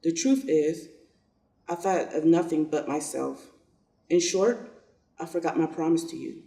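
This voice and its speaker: Male actor as pompous army general, mellow tone, self-justifying, stage drama, roomy distant recording. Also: a little high, unhurried, gravelly. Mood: blustering, offhand. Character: self-absorbed Japanese general, pompous and excusing himself. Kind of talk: staged reader's theater drama.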